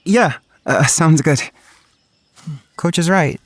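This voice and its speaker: A man's voice: fairly high pitch, slow, no echo. Shy, earnest young man. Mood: nervous, polite, then reflective. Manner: earnest, introspective, hesitant in dialogue. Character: shy, anxious, self-conscious young male student writer. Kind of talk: first-person audio drama narration and acting.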